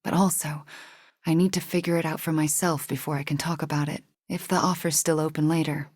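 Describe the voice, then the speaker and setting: A young sorceress heroine from a video game, her voice on the low side and recorded close and dry. She speaks softly and sincerely, often breathy and hesitant, with a wistful, guarded, self-doubting temper. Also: fairly even intonation, a little fast, dry recording. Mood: wistful, reflective.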